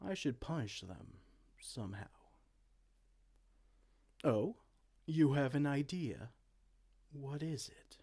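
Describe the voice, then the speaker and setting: A man's voice: mid-range voice, big pitch swings, slow pace, long pauses, dark tone, husky. A man playing a dorky, petulant evil king in a comedic fantasy roleplay, pompous, theatrical and exasperated. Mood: pompous, pondering. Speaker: amateur roleplay audio performer.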